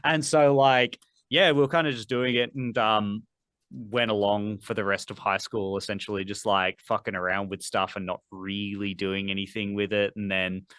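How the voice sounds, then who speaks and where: A man's voice: lowish voice, flat delivery, normal speed, fairly bright tone, smooth, no room sound. A man in his thirties, a metal vocalist guest on a podcast, chatting casually in a rambling way with lots of fillers and casual swearing. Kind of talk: casual interview chat.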